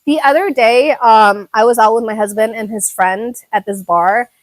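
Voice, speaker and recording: A young woman from Thailand talks casually on a live stream, reading her viewers' messages aloud and answering them with lots of fillers and repeated words. Her voice sits a little high, and the sound is close and dry with no room echo. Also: normal speed. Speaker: YouTuber reading viewer chat.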